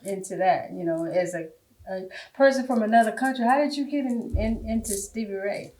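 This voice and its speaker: A woman's voice: mid-range voice, brisk, a little mellow, low-quality recording. A woman hosting her own podcast, chatty and upbeat as she asks her guest questions. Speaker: podcast host. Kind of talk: casual interview.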